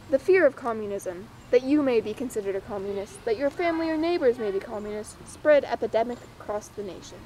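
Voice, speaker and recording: Young female presenter, high voice, scripted mock-documentary read, slight noise behind. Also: darkish tone, rough recording. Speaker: presenter and interviewer.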